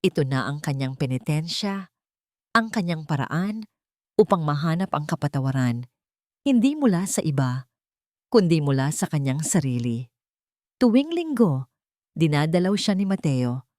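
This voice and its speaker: A woman narrating a scripted dramatic story in a solemn, sentimental manner with deliberate phrasing. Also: sits low, sing-song, warm tone, dry recording, studio quality. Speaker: storyteller, host of an audio story channel.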